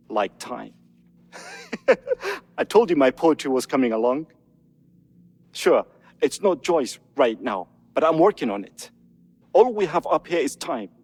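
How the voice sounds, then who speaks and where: An actor playing a wistful, bored outpost man in a video game, musing wryly and slowly, with reverb on the voice. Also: medium pitch, heavily acted. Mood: dreamy, reciting. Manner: slow, musing, wry. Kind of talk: acted video game audio log.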